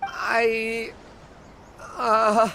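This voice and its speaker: A woman's voice: fairly high pitch, completely flat delivery, very slow, creaky, bright, forceful tone, a little hiss or hum, heavily acted. A woman playing an anxious, deferential stall vendor in a video game, hesitant and placating. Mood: flustered. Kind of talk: scripted video game dialogue.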